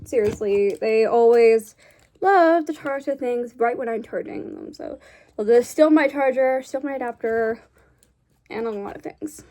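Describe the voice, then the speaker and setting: A woman's voice: fairly high pitch, unhurried, mellow. Chatty young woman with a cough. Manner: chatty, rambling, self-correcting. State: slight cough, losing her voice. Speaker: vlogger. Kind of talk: casual drawing vlog chat.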